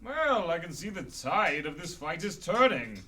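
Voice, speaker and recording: Man as a theatrical, high-pitched bantering superhero. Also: big pitch swings, bright, forceful tone, heavily acted. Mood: teasing. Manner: bantering, deliberate delivery. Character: witty, defiant pun-slinging male superhero, cool and theatrical. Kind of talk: radio drama acting. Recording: noisy, slightly distant microphone.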